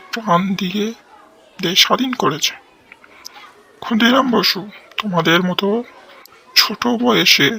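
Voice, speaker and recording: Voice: mid-range voice, sharp tone, slight background noise, poor audio. A performer playing a solemn, instructive adult speaking to children in a village cartoon. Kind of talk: children's cartoon voice acting, speech-like delivery.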